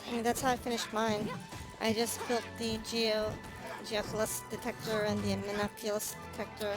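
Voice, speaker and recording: A woman with a fairly high voice streams a video game. She talks casually through what she is doing, with game music clearly audible behind her. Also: level tone, moderate pace, rough recording. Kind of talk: casual livestream gameplay commentary.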